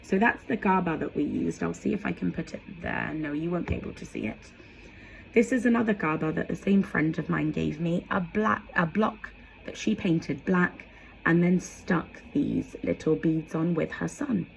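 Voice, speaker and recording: A woman's voice: fairly low pitch, lively intonation, moderate pace, vocal fry, rough recording. A woman presenting in an informal instructional vlog, explaining conversationally with asides as she demonstrates, with faint background noise. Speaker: educator presenting storytelling props.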